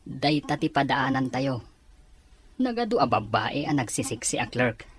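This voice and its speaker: A man's voice: medium pitch, big pitch swings, normal speed, a little hiss or hum, lightly acted. Curious Ilocano office gossip, played by a man. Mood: gossipy. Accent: Ilocano Filipino. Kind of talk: Ilocano radio-style drama acting.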